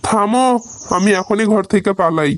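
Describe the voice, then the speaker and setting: A man's voice: sits high, normal speed, close to an everyday voice. A man with a Bangladeshi accent playing an exasperated village husband who protests and reasons, in a comic cartoon.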